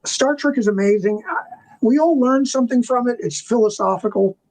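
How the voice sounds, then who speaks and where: A man's voice: very high-pitched, brisk, a little mellow, poor audio. An older man talking casually as an interview guest, telling stories enthusiastically and wandering into asides. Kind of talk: casual chat, storytelling.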